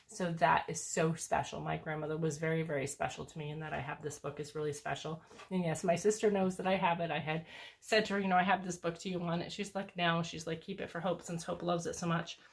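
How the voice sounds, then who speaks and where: A woman's voice: low voice, a little hiss or hum. An older woman, a homeschooling grandmother, chatting enthusiastically about books in a casual way with lots of fillers. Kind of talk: casual book review chat.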